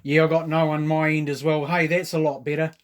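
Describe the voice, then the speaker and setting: A New Zealand man in a casual radio chat. His voice sits a little high, and he talks in a chatty, informal way with plenty of operator jargon. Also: flat delivery, brisk, very noisy.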